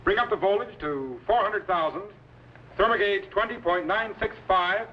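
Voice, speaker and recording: An actor playing a commanding, scholarly time-machine captain in a crisp, authoritative 1950s science fiction serial style. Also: male voice, high-pitched, moderate pace, slight background noise, natural voice. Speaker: captain and mentor. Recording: dull vintage broadcast with loud music.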